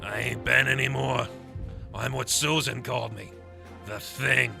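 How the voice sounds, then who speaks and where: A man voicing a gruff, bitter strongman turned monster in a comic book audio drama, blunt and surly, with a gravelly voice. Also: medium pitch, steady tone, a little slow, sharp tone, faint music behind, full character voice. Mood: grim, resigned.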